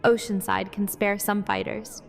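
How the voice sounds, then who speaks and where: Woman acting creaky-voiced, blunt fighter. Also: mid-range voice, flat delivery, measured pace. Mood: decisive. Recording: echoey hall, faint music behind.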